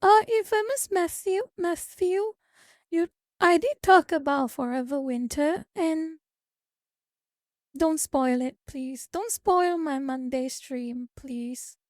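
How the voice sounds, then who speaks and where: A woman's voice: high voice, slow pace, a little mellow, no echo, studio quality. A woman streaming live, chatting casually with viewers, reading their comments and lecturing them. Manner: chatty, lecturing, reads chat aloud. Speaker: VTuber streamer.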